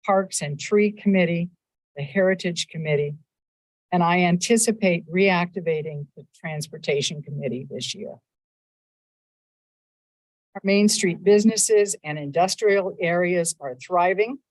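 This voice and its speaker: A woman delivering a prepared civic speech at a council meeting, measured and upbeat, with a loud voice, speaking into a microphone in a council chamber. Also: lowish voice, no echo, low-quality recording. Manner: measured, upbeat, stresses lists of items. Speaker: mayor giving a state of the city address.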